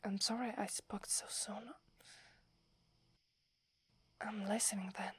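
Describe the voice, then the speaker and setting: A woman with an Italian accent playing a shy, devoted young werewolf in a breathy, hesitant ASMR whisper. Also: medium pitch, fairly even intonation, background noise, close to an everyday voice. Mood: flustered, apologetic. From Italy. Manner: hesitant, halting, deferential. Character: devoted, shy young female werewolf serving her alpha.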